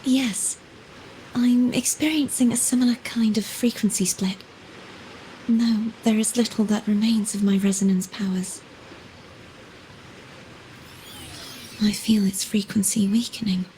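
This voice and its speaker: Game heroine casting: female actor as composed, mysterious young woman, higher register, measured formal delivery, faint background noise. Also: steady tone, warm tone, natural voice, poor audio. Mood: soft, pensive. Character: composed enigmatic young woman trapped in a realm. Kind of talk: video game character dialogue.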